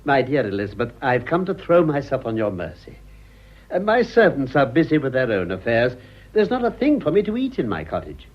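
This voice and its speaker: An actor playing an urbane, teasing elderly gentleman in a radio period comedy, with a suave, wry, ornately wordy manner. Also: mid-range voice, wildly swooping pitch, fairly quick. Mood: mischievous. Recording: slightly muffled radio drama recording.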